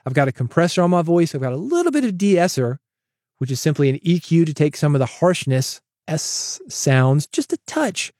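A man hosting a solo podcast, coaching listeners in an energetic, direct way on a broadcast mic. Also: a little high, normal speed, no echo, studio quality. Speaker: podcast host. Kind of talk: solo podcast advice with sponsor read. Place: home studio, processed dry voice. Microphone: studio broadcast dynamic mic.

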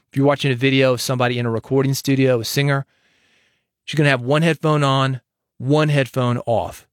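A man's voice: medium pitch, dry recording. Guy doing a solo podcast, mid voice, direct how-to talk, dead dry studio mic. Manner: direct, instructive, confident. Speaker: podcast host. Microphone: studio microphone.

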